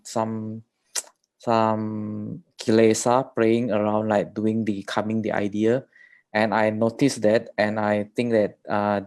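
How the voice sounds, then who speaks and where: A man from Indonesia, a meditation student asking hesitant, halting questions over a video call. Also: fairly low pitch, darkish tone.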